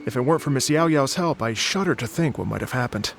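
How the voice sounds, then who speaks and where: An actor playing a polite, dreamy travelling musician in a video game, courteous, hesitant and slightly flustered. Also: male voice, mid-range voice, animated, fast talker, creaky, over music. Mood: sheepish.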